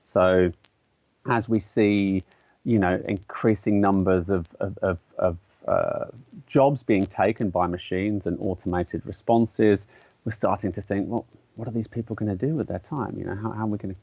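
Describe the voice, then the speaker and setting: A man with a fairly low voice explains his ideas as an interview guest. He talks thoughtfully, stopping to restart and filling pauses with ums. The sound is dry and a little dull, like a lo-fi recording. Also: steady tone, a little mellow, dry recording. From English-speaking country. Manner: thoughtful, hesitant, many fillers and restarts. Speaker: artist and educator, guest.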